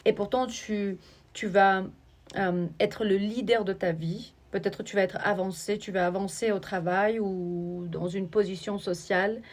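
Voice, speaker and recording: A woman giving a spiritual oracle card reading, talking straight to the viewer in a searching, halting way. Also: mid-range voice, steady tone.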